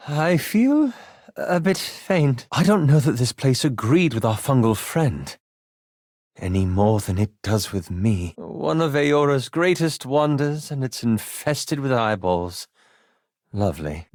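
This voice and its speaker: Fussy anxious elf wizard for a game, dry sarcasm, pitch moves around a lot, really dry close sound. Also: male voice, dry recording. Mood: wry, uneasy. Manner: dry, polite, hesitant, understated sarcasm. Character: wry, anxious, fastidious male elf wizard adventurer. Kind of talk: video game voice acting.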